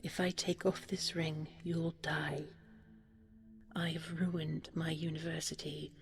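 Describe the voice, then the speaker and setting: A woman voicing a guilt-ridden, devoted young storybook hero in a hushed, breathy, sorrowful way. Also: low voice, little pitch movement, slow, heavily acted. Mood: despairing.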